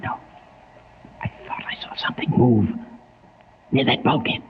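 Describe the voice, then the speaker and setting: A man's voice: measured pace, in a whisper, lightly acted. An actor playing an anxious, devout man, a reluctant companion who sounds worried and hesitant, in 1950s radio drama style. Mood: weary, worried. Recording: thin phone-like old radio recording.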